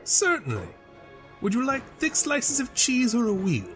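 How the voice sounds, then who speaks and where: A man's voice: very high-pitched, huge pitch swings, measured pace, a bit bright, faint music behind, pitched above the performer's own voice, full character voice. A man voicing a polite, deferential and nervous male tavern server in an audiobook story. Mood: obliging. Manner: polite, servile. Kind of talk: audiobook character dialogue.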